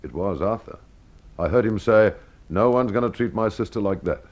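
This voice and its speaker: A man voicing a glamorous, coolly deceitful wife in a quiet, halting manner for an old-time radio mystery. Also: fairly low pitch, close to an everyday voice. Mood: quiet accusation. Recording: dull lo-fi old radio sound.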